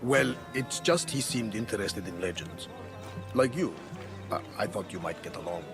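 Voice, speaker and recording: An actor playing a jovial, excitable older Greek man in a video game drama, with a boisterous, theatrical way of talking. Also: lowish voice, normal speed, music in the background. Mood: sheepish.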